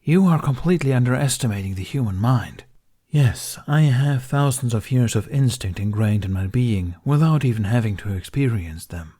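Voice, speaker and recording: A man's voice: medium pitch, lively intonation, normal speed, warm tone, husky, voice pushed up. Earnest, emphatic dragon, voiced by a man. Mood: frustrated, urgent. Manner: emphatic, insistent. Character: earnest, protective male dragon, fiercely loyal and exasperated.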